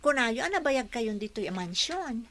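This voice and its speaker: A woman's voice: fairly high pitch, sing-song, unhurried, a bit bright, no echo. A woman acting as a polite, dutiful young housekeeper and daughter in a radio drama. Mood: earnest. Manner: polite, deferential. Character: dutiful young housekeeper, devoted daughter, modest but plucky.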